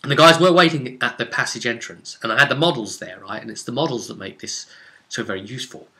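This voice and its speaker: A man with a crisp, bright voice and an average pitch talks through a role-playing session as its game master. His delivery is casual and rambling, like someone telling a story to friends, with animated turns as he relays what the players did. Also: quick pace.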